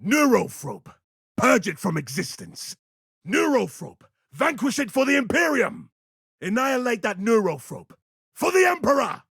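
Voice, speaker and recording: Proud vengeful space marine, husky n gravelly, swinging pitch, game voice lines. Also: male voice, sing-song, measured pace, a bit bright, no echo, studio quality, full character voice. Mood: urgent zeal. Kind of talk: video game combat voice lines.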